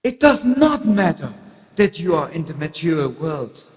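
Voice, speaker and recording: A man's voice: fairly high pitch, sing-song, unhurried. A man playing a solemn, commanding yet benevolent deity in a declamatory dramatic scripture reading. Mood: solemn, instructing. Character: male Supreme Lord, half-lion deity, solemn, commanding, benevolent. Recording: echoey hall, long reverb.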